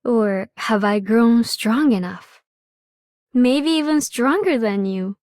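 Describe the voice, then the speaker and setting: An actress playing a sweet, eager young girl in an anime game, earnest and gentle in manner. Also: fairly high pitch, takes it slowly, dry recording, clean studio sound. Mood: earnest, questioning. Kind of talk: scripted game character lines.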